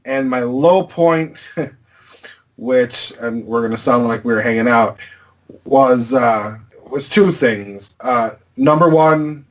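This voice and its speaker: Podcast guy giving his takes, measured and opinionated, average voice. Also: mid-range voice, a bit bright, low-quality recording. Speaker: podcast co-host. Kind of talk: casual chat.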